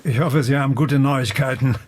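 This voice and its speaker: An actor playing a menacing, imperious Nazi officer in a war game, husky-voiced. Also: male voice, mid-range voice, fairly even intonation, darkish tone, slight background noise, natural voice. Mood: cold menace. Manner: slow, imperious. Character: menacing, brooding male Nazi officer villain.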